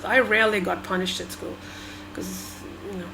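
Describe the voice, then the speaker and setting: Woman, from Sri Lanka, Tamil native speaker, reflective vlog monologue, hesitant and halting, medium pitch, audible background noise. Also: fairly even intonation, vocal fry. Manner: halting, hesitant, many restarts and fillers. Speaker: vlogger.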